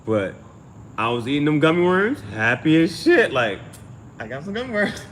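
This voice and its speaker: A man's voice: a little high, big pitch swings, moderate pace, fairly bright tone, a little hiss or hum. A man telling personal stories in a casual livestream style, slangy and profane, often repeating phrases as he thinks aloud. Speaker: amateur tarot reader and channel host.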